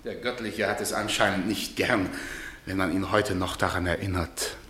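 An actor in a German radio play as a domineering, mocking husband who talks with theatrical condescension. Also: male voice, low voice, fairly even intonation, normal speed, background noise, lightly acted. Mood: gloating, mocking. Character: sneering, domineering husband who enjoys power and taunting.

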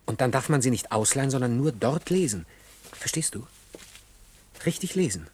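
An actor playing an earnest, obsessive and frustrated junior programmer in a radio play, speaking in an intense conversational way. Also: male voice, fairly low pitch, slight background noise. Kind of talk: German science-fiction radio play acting.

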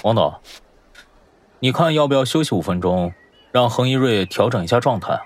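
A man acting a composed, sardonic senior film star in an audio drama, dry and measured, with music behind him. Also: mid-range voice, expressive, lightly acted. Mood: serious.

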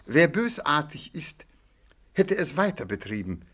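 An actor playing a shrewd, courteous male astrologer in a German radio play, speaking in a measured, formal and probing manner. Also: fairly high pitch, sing-song, fairly bright tone, heavily acted. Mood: musing, probing.

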